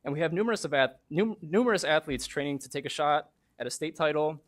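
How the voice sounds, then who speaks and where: A man's voice: on the high side, lively intonation, fast. Earnest man reading a prepared statement. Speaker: track coach. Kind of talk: prepared public comment. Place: school board meeting room.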